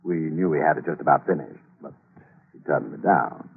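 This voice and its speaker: An actor playing a smug, sardonic Nazi spy in a 1940s radio thriller, with a gravelly, husky voice and a leisurely, toying manner. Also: male voice, a bass voice, moderate pace, heavily acted. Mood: smug menace. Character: smug male Nazi spy villain with a gun. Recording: tinny 1940s radio recording.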